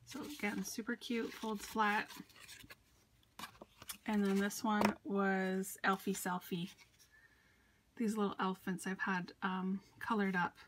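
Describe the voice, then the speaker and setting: A woman's voice: medium pitch, little pitch movement, takes it slowly, pauses often, a bit bright, a little hiss or hum. A congested woman showing her handmade cards at her craft desk, chatty with fillers and small laughs. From United States. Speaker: card-making crafter. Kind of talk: casual craft show-and-tell.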